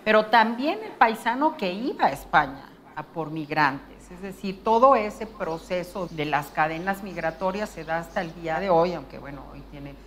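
A middle-aged woman with a very low voice explains history in a measured, lecturing way. She speaks as an expert giving a documentary interview. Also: expressive, a bit bright, a little hiss or hum.

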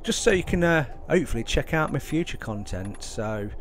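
A man's voice: fairly high pitch, expressive, normal speed, a little hiss or hum. A man hosting a gaming video, chatting casually in a polite, rambling, hesitant way and often repeating himself. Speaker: gaming YouTuber, host. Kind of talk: casual video intro commentary.

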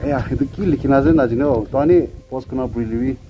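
A man's voice: medium pitch, rapid-fire, music in the background. A man from Nagaland, India, chatting casually and inquisitively as a vlogger interviewing villagers, often acknowledging what he hears. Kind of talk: casual chat. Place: outdoors on a village road. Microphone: handheld camera, behind it. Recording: dull outdoor camera audio, loud ambient noise.

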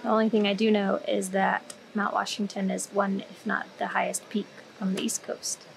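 A woman's voice: medium pitch, flat delivery, moderate pace, gravelly, recorded from a distance, noisy background. A woman in her thirties, a travel vlogger, chatting casually and agreeing often with her partner. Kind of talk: casual chat. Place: RV interior.

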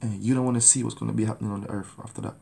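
A man's voice: fairly low pitch, steady tone, racing, vocal fry, dark tone, breathy edge. A man in his thirties from Jamaica, preaching his testimony to a phone camera in a small room at home, urgent and pleading. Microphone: phone camera at arm's length.

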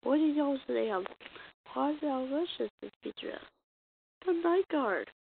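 A woman reading a comic dub as an amateur voice actor, acting out the dialogue in a halting, stumbling way on a muffled, noisy recording. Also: sits high, expressive, vocal fry. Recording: muffled lo-fi recording with noise and clicks.

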